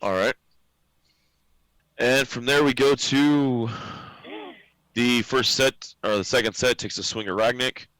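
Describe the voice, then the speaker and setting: A man acting as game master in a tabletop role-playing game, relaxed and joking, laughing often as he calls out turns and damage. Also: medium pitch, slow, long pauses, no room sound, low-quality recording.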